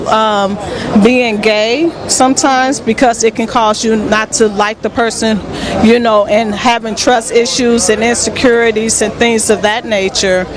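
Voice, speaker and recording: A woman hosting a conversational interview, frank and supportive, sharing personal experience as she asks questions. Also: a little high. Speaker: interviewer, host.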